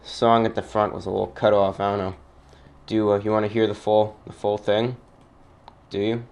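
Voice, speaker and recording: A man speaks as the host of a casual radio show. His voice sits fairly low and has a marked gravelly fry. He explains things conversationally, with a halting delivery. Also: little pitch movement, a little mellow. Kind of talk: casual informal radio commentary.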